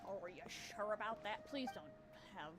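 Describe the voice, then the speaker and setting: A woman's voice: mid-range voice, lively intonation, moderate pace. A woman doing casual gameplay commentary in a slangy, exclamatory way. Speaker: gaming video host. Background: game music.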